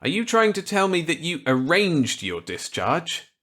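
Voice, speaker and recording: Male narrator as earnest government scientist, stiff, uneasy, incredulous, raised pitch, audiobook character. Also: sing-song, measured pace, fairly bright tone, heavily acted.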